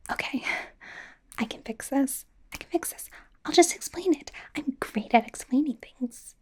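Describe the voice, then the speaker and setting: Breathy whispering young pop idol stylist played by a woman. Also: on the high side, heavily acted. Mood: earnest, protective. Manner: hesitant, rambling, informal, earnest. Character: bubbly young female pop idol playing personal stylist. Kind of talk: whispered ASMR roleplay.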